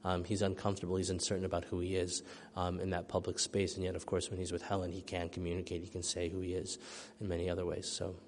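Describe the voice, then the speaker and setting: Deep, flat-voiced man in a reverberant hall. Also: fast, few pauses. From United States. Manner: thoughtful, soft-spoken with many ums and restarts. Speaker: author at a book reading. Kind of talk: reading then answering audience questions.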